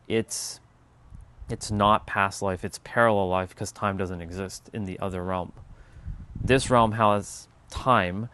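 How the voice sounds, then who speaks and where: A man hosts a relaxed spiritual livestream, thinking out loud and replying to chat as comments come in. His voice sits fairly low and sounds clean and smooth, and his talk drifts loosely with the odd casual swear. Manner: rambling, musing, answers viewer chat. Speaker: livestream host.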